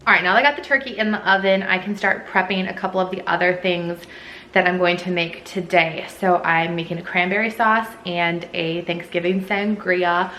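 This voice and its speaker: A woman's voice: on the low side, steady tone, normal speed, bright, forceful tone, faint music behind. A woman vlogging casually while she cooks in her home kitchen, chatting with lots of fillers. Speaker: homemaking vlogger. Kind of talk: casual cooking vlog chat. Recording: echoey kitchen, faint room noise.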